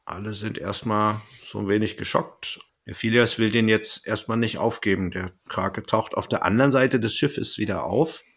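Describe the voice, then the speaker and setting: A man's voice: fairly low pitch, steady tone, normal speed, few pauses, fairly bright tone. A man hosting a podcast, chatting casually as he recaps a story. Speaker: podcast host. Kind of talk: casual podcast chat, recapping an audio drama.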